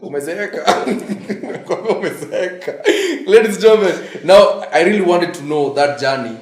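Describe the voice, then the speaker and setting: A Kenyan man hosting an interview, asking quick questions and teasing his guest. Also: high voice, expressive, a little mellow. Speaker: host. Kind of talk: casual interview. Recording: roomy echo.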